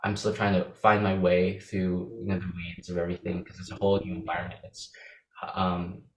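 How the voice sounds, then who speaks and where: Young Filipino man, interview guest, low-pitched, hesitant answers in an echoey room. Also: completely flat delivery, a little fast, a little hiss or hum. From Philippines. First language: Visayan. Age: young adult. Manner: hesitant, many fillers and restarts.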